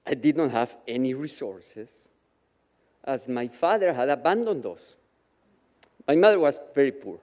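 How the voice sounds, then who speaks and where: A man from Mexico whose first language is Yiddish, telling his personal story slowly and with feeling as a guest speaker at a Shabbat service in a synagogue chapel. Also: mid-range voice, expressive, darkish tone, long reverb tail. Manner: slow, measured, emotional storytelling. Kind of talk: personal testimony speech.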